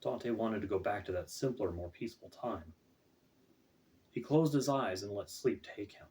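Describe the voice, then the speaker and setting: A man's voice: fairly low pitch, moderate pace, mellow. A man reading his own fantasy fiction aloud as scripted audiobook narration. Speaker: author reading own book. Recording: distant, off-mic home recording.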